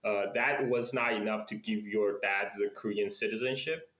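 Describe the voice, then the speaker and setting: Low-voiced man on a roomy video call. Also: steady tone, moderate pace, fairly bright tone. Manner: prompting, asks leading follow-up questions. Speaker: podcast host. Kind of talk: casual interview chat.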